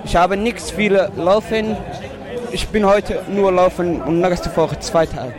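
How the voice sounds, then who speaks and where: A man's voice: high voice, slow. A young man from Somalia with a Somali accent, an amateur runner answering in simple non-native phrases. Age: young adult. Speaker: amateur runner being interviewed. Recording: crowded outdoor event. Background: crowd.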